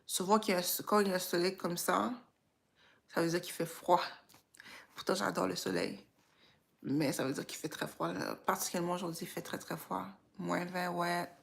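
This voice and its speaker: Low creaky-voiced Québécois woman chatting. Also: fairly even intonation, normal speed. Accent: Québécois French. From Quebec, Canada. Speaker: livestream host. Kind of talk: casual reflective chat.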